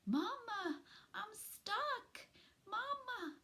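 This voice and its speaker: A woman's voice: very high voice, sing-song, extremely slow, sharp tone, voice pushed up, heavily acted. A woman voicing a tiny newborn female possum in a storybook, plaintive and pleading. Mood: pleading, frightened.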